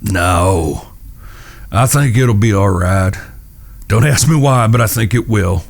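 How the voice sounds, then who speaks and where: Serious protective cowboy, earnest, audio drama. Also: male voice, lowish voice, takes it slowly, creaky, heavily acted. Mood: resigned, gentle. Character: grave, protective cowboy outlaw, eldest-brother type. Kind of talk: western audio drama acting.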